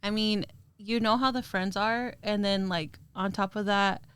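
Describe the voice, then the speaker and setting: A woman's voice: medium pitch, steady tone, a little slow, fairly bright tone, clean tone. A young woman hosting a podcast, chatty and curious, asking questions and laughing often. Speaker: podcast host. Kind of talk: casual chat.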